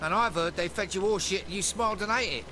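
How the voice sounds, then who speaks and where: A man acts out a high-pitched street beggar in a fantasy game, plaintive and surly by turns. There is clear street noise behind him. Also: fast, natural voice. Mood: surly, dismissive. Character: wheedling, cynical crippled beggar man in a medieval city. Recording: noisy game street ambience.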